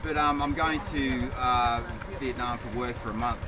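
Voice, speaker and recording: A man's voice: mid-range voice, moderate pace. A man talking insistently, lecturing and repeating his point in casual conversation. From Australia. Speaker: expat resident. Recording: loud vehicle noise behind.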